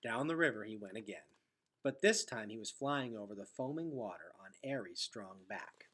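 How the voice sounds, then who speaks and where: A man reading a storybook aloud expressively, with dramatic delivery that drops into hushed, breathy tones. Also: lowish voice, lively intonation, moderate pace. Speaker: teacher reading aloud to students.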